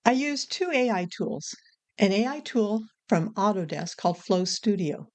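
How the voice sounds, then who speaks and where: A woman's voice: medium pitch, expressive, unhurried, a little mellow. A woman hosting a casual how-to vlog, talking in an informal, frank, chatty way. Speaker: video creator and host.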